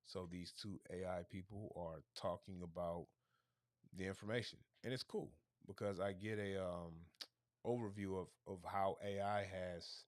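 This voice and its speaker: A man hosting a casual livestream, talking through his plans in a rambling way and going hoarse. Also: sits low, fairly even intonation, a little slow, no echo, studio quality. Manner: rambling, thinking aloud with restarts. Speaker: livestream host.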